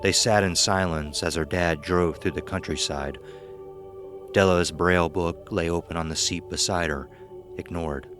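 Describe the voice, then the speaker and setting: A man's voice: sits low, steady tone, normal speed, music in the background. A man reading a scripted horror story as narrator.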